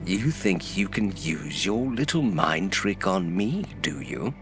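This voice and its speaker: A man's voice: low-pitched, big pitch swings, slow pace, vocal fry, over music, exaggerated voice. A man playing a sneering, defiant renegade henchman in a fantasy audio drama, with a taunting, chuckling manner. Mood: mocking, defiant. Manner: taunting, chuckling, sometimes hissing whispers.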